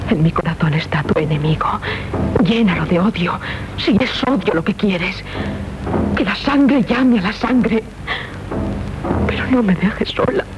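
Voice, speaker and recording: A woman's voice: medium pitch, expressive, a little slow, long pauses, noisy background, lightly acted. Actress as anguished young Castilian noblewoman, muffled radio drama. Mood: bitter, sorrowful. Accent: Castilian Spanish. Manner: impassioned, solemn, dramatic delivery. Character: anguished young noblewoman torn between love and vengeance. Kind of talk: 1970s Spanish radio theatre acting. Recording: dull 1970s radio broadcast recording. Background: prominent music.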